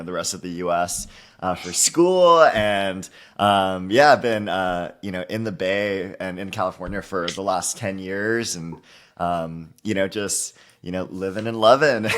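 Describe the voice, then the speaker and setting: A very deep-voiced man from Hawaii in his thirties talks easily as a podcast guest. His speech is upbeat and loose, strung together with "you know" and "like". He sounds relaxed and conversational. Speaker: guest author. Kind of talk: casual interview conversation.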